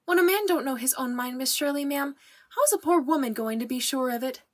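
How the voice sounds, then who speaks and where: A woman playing an excitable, gossipy young servant girl in an audiobook, breathless and earnest, with folksy, ungrammatical speech. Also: high voice, fairly quick. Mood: anxious, fretful. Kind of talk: audiobook character acting.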